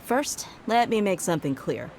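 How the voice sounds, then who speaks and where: Actress as young female mercenary bodyguard, video game, tough, loyal, blunt, casual, expressive pitch movement. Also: normal speed, slight background noise, lightly acted. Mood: skeptical.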